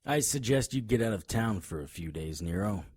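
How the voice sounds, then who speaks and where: A man's voice: lowish voice, animated, moderate pace, darkish tone, breathy edge, no room sound. An actor playing a tough, righteous male private detective in an audio drama, confrontational and sardonic. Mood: firm, resolute. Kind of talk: hardboiled audio drama acting.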